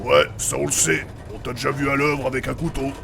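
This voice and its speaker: A man's voice: medium pitch, level tone, brisk, vocal fry, a bit bright. A man playing a blunt, sardonic adventurer with slangy, sarcastic talk in a French fantasy comedy audio drama. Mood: gruff, sardonic. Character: gruff, sardonic, blunt male adventurer with technical know-how.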